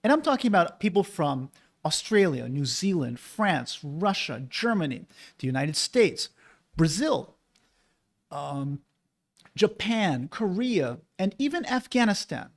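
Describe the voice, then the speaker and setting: High-voiced man chatting about games. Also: big pitch swings, unhurried. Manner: conversational, persuasive, asks rhetorical questions. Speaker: board game channel host. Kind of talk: casual opinion monologue.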